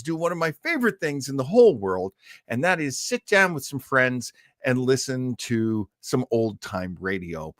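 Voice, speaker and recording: A man's voice: mid-range voice, a bit bright, no room sound, clean studio sound. A middle-aged man hosting an old-time radio livestream in a casual, chatty, rambling way, effusive and self-deprecating.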